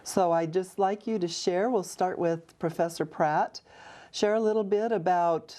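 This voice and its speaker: A woman hosting a community TV interview show, speaking in a measured way with frequent ums. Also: lowish voice, dark tone. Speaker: host, city mayor. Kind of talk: local TV interview. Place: TV studio.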